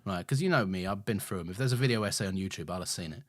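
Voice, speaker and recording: A man's voice: deep voice, very fast, no echo, clean studio sound. A man co-hosting a podcast in casual chat, telling stories with lots of laughs and put-on quoted voices. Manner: animated storyteller, laughs often, puts on quoted voices. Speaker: podcast co-host.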